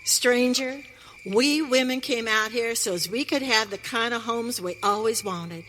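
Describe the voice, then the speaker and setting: A woman's voice: fairly high pitch, bright, forceful tone. A woman playing a feisty pioneer wife in a western radio play, with an American accent and folksy, emphatic frontier speech. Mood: resolute. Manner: folksy frontier dialect, emphatic, drawn-out delivery. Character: Maggie, feisty pioneer wife on a wagon train. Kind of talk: live western radio theater acting. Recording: hall reverb, faint noise.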